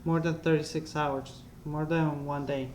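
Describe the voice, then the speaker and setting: A young man casually narrating his life story over drawings, halting often and correcting himself as he goes. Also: fairly high pitch, flat delivery, a little mellow, slight background noise. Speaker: vlogger.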